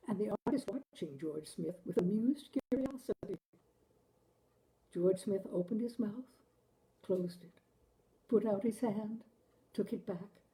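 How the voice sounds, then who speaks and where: A woman reading a literary short story aloud with expressive, dramatic delivery. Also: mid-range voice, rough recording. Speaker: story reader, show host. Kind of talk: literary audiobook-style story narration.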